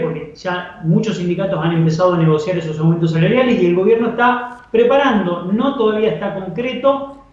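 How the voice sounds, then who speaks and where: Un hombre con acento argentino que comenta la economía como analista invitado, de forma explicativa y repitiendo para enfatizar. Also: male voice, high-pitched, darkish tone. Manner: explanatory, repeats phrases for emphasis. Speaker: economic analyst guest. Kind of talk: live interview commentary on economics. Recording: muffled, very echoey remote call.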